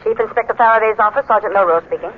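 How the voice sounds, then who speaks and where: A courteous police sergeant on the phone in an old radio play. He talks very fast in a high voice. The line is thin and muffled. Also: a little hiss or hum. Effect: telephone filter. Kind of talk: 1970s radio drama acting.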